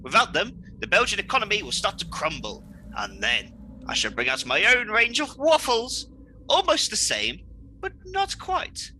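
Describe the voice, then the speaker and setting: A man's voice: fairly high pitch, pushed and bright, a little hiss or hum, exaggerated voice, rough recording. A man playing a pompous, gleeful, scheming supervillain in a comedic radio play, mocking and melodramatic.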